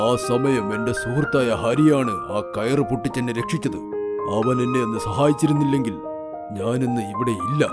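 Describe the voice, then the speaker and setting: A man voicing a proud, authoritative lion king of the jungle in an animated children's story. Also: mid-range voice, natural voice. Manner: authoritative, stately. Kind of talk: animated children's fairy tale dialogue. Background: music.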